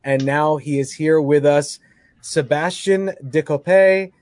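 A man with a fairly high voice hosts a talk radio show. He introduces his guest and asks friendly, leading questions. The studio sound is dry and close. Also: slow pace, a little mellow, no room sound. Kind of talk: radio interview.

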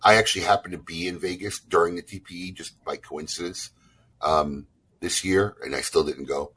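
A man hosting a casual interview, teasing his guest in a jumpy, animated way. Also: low-pitched, moderate pace, breathy edge. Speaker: host.

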